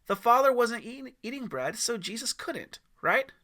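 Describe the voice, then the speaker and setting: A man's voice: very high-pitched, expressive, moderate pace, sharp tone, voice pushed up, exaggerated voice. A man voicing an eager, blunt young boy in an audiobook campfire story, cheeky and blurting. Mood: curious, excited.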